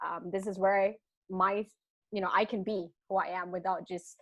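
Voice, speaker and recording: A young adult woman from Malaysia with a mixed British and Malaysian accent, speaking as an interview guest in an animated, restarting way. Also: mid-range voice, normal speed, no echo. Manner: animated, frequent restarts, laughs between thoughts. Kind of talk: casual interview talk. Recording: dull lo-fi dry sound.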